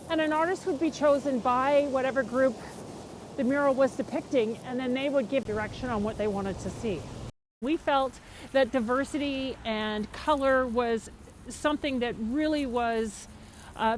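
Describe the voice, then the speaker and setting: A woman's voice: fairly high pitch, low-quality recording. Upbeat business director woman, slight outdoor hiss. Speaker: business association executive director. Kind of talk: documentary interview.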